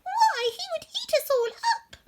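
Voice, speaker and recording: A woman voicing a tiny, dignified but timid queen of field mice in a squeaky storybook voice. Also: very animated, measured pace, background noise, full character voice. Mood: timid, alarmed. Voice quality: squeaky, little voice. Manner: indignant, regal, exclaiming. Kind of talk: storybook character voice.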